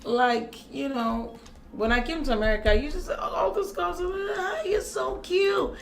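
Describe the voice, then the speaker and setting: A Nigerian woman co-hosts a casual chat in a fairly high voice with a strong creak. Her accent is Nigerian, and she talks expressively, full of exclamation. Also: measured pace, rough recording. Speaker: talk show co-host.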